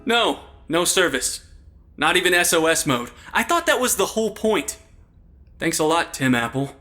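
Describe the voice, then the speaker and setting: A man plays a wisecracking sleuth in a comedy audio drama. His voice is high for a man and has a sharp edge. The delivery is casual, jittery and sarcastic. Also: big pitch swings, normal speed, soft background music.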